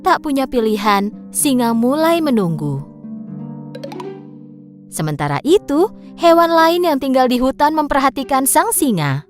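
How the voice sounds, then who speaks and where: A woman narrating a scripted children's story in an expressive storyteller's manner. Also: high voice, measured pace, pauses often. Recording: music behind the voice.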